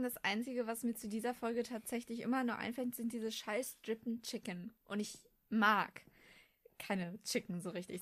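Female podcast co-host. Slightly high voice, blunt informal chat, very dry close sound. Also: a bit bright, no room sound.

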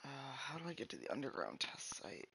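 A man streaming a video game, chatting casually and slowly, sometimes mildly frustrated with the game. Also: medium pitch, wildly swooping pitch, creaky, pushed and bright, breathy edge, no room sound. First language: English. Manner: slow, musing, mildly frustrated. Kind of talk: casual gameplay commentary.

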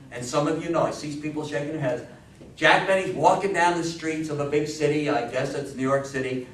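A man in his sixties giving an animated, informal talk to an audience, exclaiming and asking them questions with enthusiasm. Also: on the high side. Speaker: lecturer. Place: indoor meeting room before a seated audience. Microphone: distant camera mic. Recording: echoey room, distant mic, audience noise.

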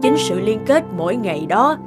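A woman voicing a caring, shrewd older mother in a cartoon, chatty and gently admonishing, with loud music behind her. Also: medium pitch, big pitch swings, moderate pace, lightly acted. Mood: tender, content. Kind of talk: animated cartoon voice acting. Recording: loud background music over voice.

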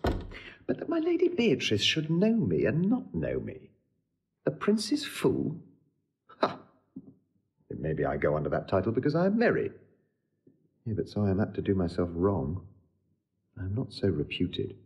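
Blustering witty bachelor lord, swooping pitch. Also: male voice, wildly swooping pitch, moderate pace, gravelly, pauses often, darkish tone, heavily acted. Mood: brooding, indignant. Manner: theatrical, blustering, exaggerated. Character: witty wounded-proud young bachelor lord, railing at a lady. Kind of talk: classical Shakespeare stage acting.

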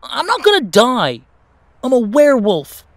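A man's voice: very high-pitched, huge pitch swings, measured pace, pitched above the performer's own voice, full character voice. A man voicing an eager, anxious young male werewolf who pleads insistently in an audio drama. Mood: insistent, pleading.

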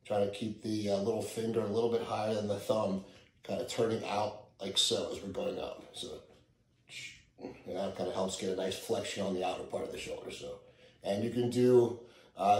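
A middle-aged man, a fitness coach, casually talking through a home workout in a relaxed, easygoing way, recorded by a camera a few meters away in a living room. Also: mid-range voice, echoey room, poor audio.

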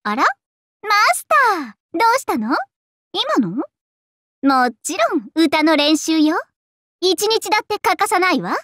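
A woman's voice: extremely high pitch, sing-song, unhurried, pauses often, fairly bright tone, no echo, studio quality, full character voice. A woman voicing a vain, bubbly young princess idol in a Japanese anime game, with a haughty, playful and theatrical delivery. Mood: playful, musing.

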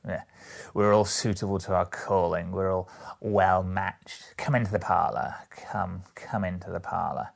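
A sly old rag-shop miser performed by a male reader, low and gravelly. He is folksy and jovial, wheedling with emphasis like a storybook rogue haggling over pennies. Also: fairly even intonation, unhurried, full character voice. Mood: jovial, welcoming. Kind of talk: Victorian storybook character voice.

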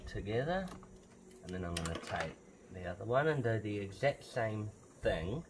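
A man's voice: deep voice, background noise. A man giving offhand, casual DIY commentary, talking through each step as he works on a car. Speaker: host of a car modification vlog. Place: outdoors beside a car's open bonnet.

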